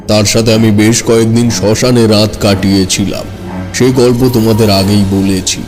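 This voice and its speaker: A man narrating a scripted horror story in the first person, measured and suspenseful. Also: medium pitch, a little mellow. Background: background music.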